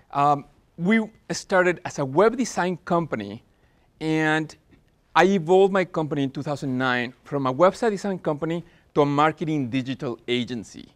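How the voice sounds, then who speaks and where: A man in his forties with a Spanish accent, presenting at a business workshop in an earnest way, with frequent fillers and restarts. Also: fairly high pitch. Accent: Spanish-accented English.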